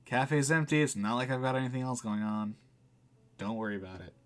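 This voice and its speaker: A man's voice: medium pitch, fairly quick, lightly acted. A man voicing a laid-back, wry and reassuring cafe barista in a visual novel. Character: laid-back, dry, kindly cafe barista guiding the dead.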